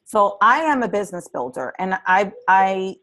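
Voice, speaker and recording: A woman's voice: mid-range voice, very animated, moderate pace, a bit bright. Earnest woman coaching new team members. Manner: earnest and encouraging, with frequent "um"s and restarts. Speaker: fitness business coach leading a team training call. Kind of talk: live conference-call training presentation. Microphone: conference call.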